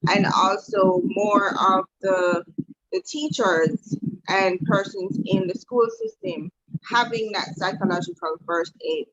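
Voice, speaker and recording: A woman with a Jamaican accent on a panel, listing her points deliberately. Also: mid-range voice, fairly bright tone, lo-fi sound. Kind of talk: panel discussion.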